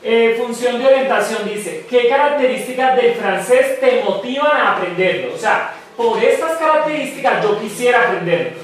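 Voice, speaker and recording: Man, paisa accent, very high voice, teacher leading class discussion, distant mic in a reverberant room. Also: expressive, normal speed, hardly any pauses, a little hiss or hum. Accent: Colombian paisa. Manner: patient, encouraging, asks questions. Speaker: French teacher. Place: school classroom.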